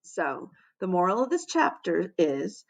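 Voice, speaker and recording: A woman teacher narrating a children's storybook aloud in an expressive, dramatic way. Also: lowish voice, a little slow, creaky. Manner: animated, dramatic.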